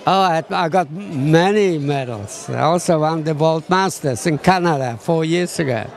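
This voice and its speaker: A man's voice: a little high, normal speed, gravelly. A man, giving short, plain answers in an outdoor interview. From Poland. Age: 80s.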